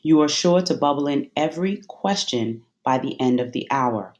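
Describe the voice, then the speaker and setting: A meditation guide giving soothing, measured instructions with deliberate pauses between phrases. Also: medium pitch, animated.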